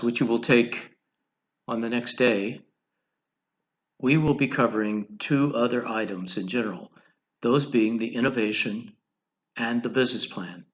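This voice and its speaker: American man lecturing, slightly dull recording. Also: medium pitch. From United States, Colorado. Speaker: teacher of an online business class. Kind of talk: scripted lecture over slides.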